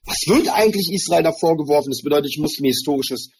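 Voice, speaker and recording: Husky-voiced man speaking earnestly. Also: fairly high pitch, lively intonation. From Germany. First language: Hebrew. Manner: earnest, thoughtful, pauses to search for words. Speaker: interview guest, rapper. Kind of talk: personal reflective interview talk.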